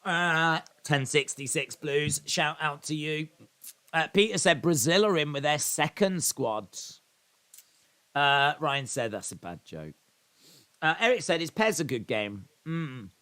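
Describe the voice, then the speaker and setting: A man's voice: fairly high pitch, animated, measured pace, bright, forceful tone, clear, resonant tone. Chatty, rambling man hosting a watch-along. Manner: rambling, chatty, self-deprecating asides. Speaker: live stream host. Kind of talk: casual watch-along chat reading viewer comments.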